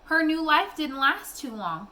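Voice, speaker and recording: Woman acting a motherly country woman, bright voice, folksy, frank, wounded, storybook dialogue. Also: high-pitched, big pitch swings, measured pace, voice pushed up, exaggerated voice. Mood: angry. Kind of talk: storybook read-aloud dialogue.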